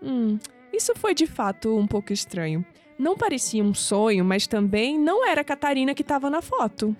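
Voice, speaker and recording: A woman with a Brazilian accent acting in an audio drama as a skeptical, science-minded young woman who speaks in measured, formal phrases. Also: a little high, big pitch swings, a little mellow. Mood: intrigued, doubtful. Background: music behind the voice.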